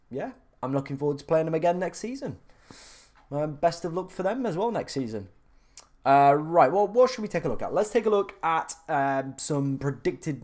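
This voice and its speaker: A man's voice: a little high, a little mellow. Chatty young Englishman streaming live. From England. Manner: chatty, filler-heavy, restarts, reads viewer questions aloud. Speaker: fantasy football streamer answering live chat. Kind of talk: casual live-stream chat.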